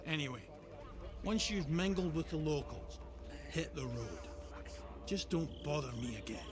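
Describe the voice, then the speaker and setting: An actor playing a gruff, impatient veteran guide in a video game, brusque and dismissive. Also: male voice, on the high side, animated, unhurried, over music. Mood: brusque, advising.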